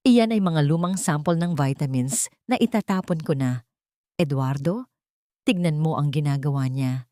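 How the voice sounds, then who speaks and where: Woman doing a two-faced lady doctor in an audio drama, fake gentle worry, sighy. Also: low voice, no room sound, studio quality. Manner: soft, sighing, falsely worried. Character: scheming woman doctor villain feigning gentle concern.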